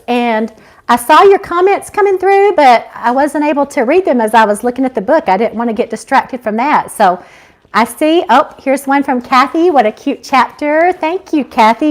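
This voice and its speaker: High-voiced, effusive woman hosting casually. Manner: effusive, grateful. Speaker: author and live-stream host. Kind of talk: casual live chat with viewers.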